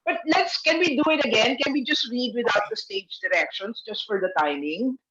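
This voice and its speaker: A Filipino woman talks casually with a group, her voice swinging up and down with lots of life. It sits a little high, and the video call audio is slightly muffled. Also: lively intonation, moderate pace, crowd noise.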